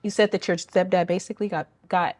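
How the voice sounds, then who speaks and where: A woman interviewer asking questions in a measured way, with pauses and fillers. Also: lowish voice, steady tone, darkish tone.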